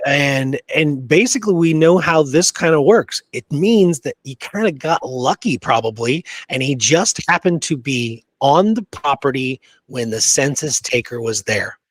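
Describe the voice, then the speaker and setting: A man's voice: medium pitch, animated, unhurried, hardly any pauses, no echo. A man co-hosting a history podcast, talking through the subject in a casual, conversational way. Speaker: podcast co-host.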